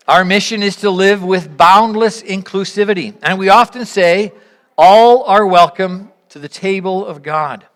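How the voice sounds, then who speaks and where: Woman giving a church sermon, earnest n measured, lots of reflective questions and pauses. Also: medium pitch, fairly bright tone. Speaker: church speaker leading an interactive service. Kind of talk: sermon, reflecting on a parable and addressing the congregation.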